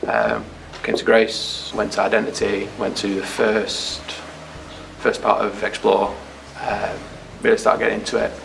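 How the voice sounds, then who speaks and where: A man giving a relaxed, understated personal baptism testimony with frequent ums. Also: fairly low pitch, unhurried, gravelly, noisy background.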